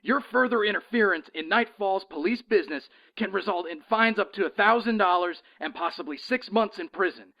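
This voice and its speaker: An actor playing an exasperated, sarcastic police lieutenant in an audio drama, creaky and commanding, in muffled sound. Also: male voice, high-pitched, a little fast, few pauses. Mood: stern, threatening. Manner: scornful, commanding.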